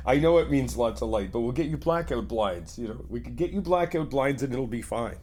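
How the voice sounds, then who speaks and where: A young man in an ASMR comfort roleplay, talking to the listener as a reassuring friend in a chatty way with soft laughs. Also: medium pitch, animated, moderate pace.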